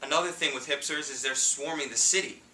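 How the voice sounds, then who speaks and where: A man in his thirties with a New York City accent, giving a humorous contest speech with punchy timing and pauses for laughs. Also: mid-range voice. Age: 30s. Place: meeting room with an audience. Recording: echoey room, distant mic, faint noise.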